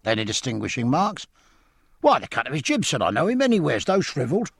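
A man voicing a furious, indignant male sailor who shouts his denials in a high, strained voice. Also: wildly swooping pitch, quick pace, gravelly, slightly rough, no room sound, studio quality, exaggerated voice. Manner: shrill outraged shouting.

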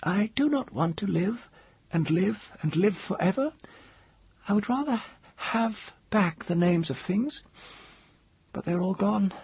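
A crazed old dyer-witch played by a male narrator speaks in a very high, thin, husky voice that swoops about. She is fearful yet proud, intense and drawn-out, a storybook figure on a dry, close recording. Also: lively intonation, a little mellow, dry recording, heavily acted. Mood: confiding, wistful. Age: older. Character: mad old woman, former dyer-witch, frightened, proud, yearning.

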